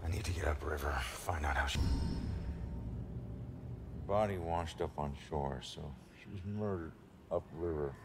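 A man's voice: a bass voice, measured pace, pauses often, background noise. An actor playing a sardonic murdered detective, now a ghost, muttering his way through a video game cutscene. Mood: musing.

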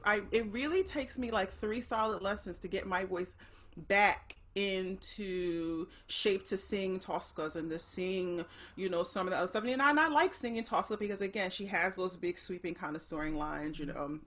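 A woman's voice: fairly low pitch, a bit bright. A middle-aged woman, an opera soprano, chatting on a video call from her living room, thoughtful and full of restarts. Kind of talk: casual chat on a video call.

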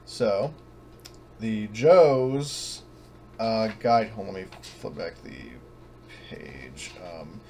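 A man's voice: fairly low pitch, lively intonation, very slow, pauses often, a little mellow. A man improvising as a tabletop game master, casual and hesitant, thinking aloud as he goes. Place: online voice call. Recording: noisy voice-chat recording.

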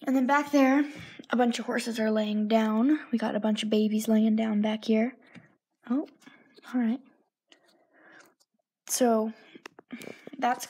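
A woman's voice: a little high, warm tone, slightly rough, rough recording. A young girl chatting casually as she films a tour of her toy horse barn at home, sometimes dropping to a whisper. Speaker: vlogger. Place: home room, indoors. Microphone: phone held in hand while filming.

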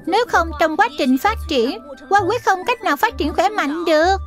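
Very high-pitched little lion spirit. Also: female voice, animated, moderate pace, faint music behind. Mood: admonishing. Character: small magical lion spirit, bossy helpful big brother. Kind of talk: dubbed cartoon acting.

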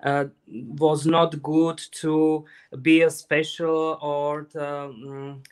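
Slow, halting man demonstrating crafts. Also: a little high, flat delivery. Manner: hesitant, many fillers, halting non-native phrasing. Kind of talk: live craft stream chat. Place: craft table indoors.

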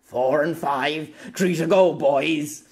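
A man's voice: on the high side, takes it slowly, pitched above the performer's own voice. Man playing a brash, cocky aging swordsman. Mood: exultant. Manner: brash, taunting. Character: defiant, cocky aging swordsman nearing sixty.

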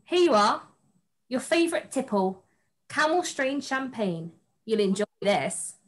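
A woman's voice: sits high, very animated, a little slow. A young woman playing a sweet, melodramatic princess in an amateur pantomime read-through on a video call. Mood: coy. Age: young adult.

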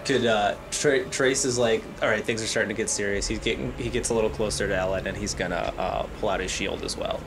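A man playing a tabletop role-playing game, talking casually in a halting, hesitant way. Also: on the low side. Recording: clear background noise.

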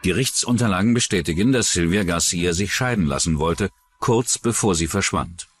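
A man's voice: low voice, normal speed, soft background music. A man narrating a scripted true-crime documentary.

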